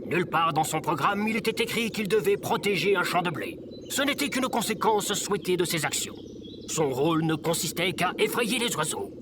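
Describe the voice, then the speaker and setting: A man's voice: high-pitched, expressive, moderate pace, background noise. A man playing an eccentric sage robot mentor in audio fiction, theatrical, lofty and instructive.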